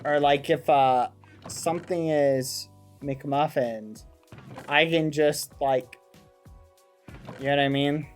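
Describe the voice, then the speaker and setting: A man's voice: a little high, steady tone, slow pace, pauses often, faint music behind. A man live streaming, chatting casually with his viewers in a rambling, playful, mock-indignant way. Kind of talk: casual chat with stream viewers while gaming.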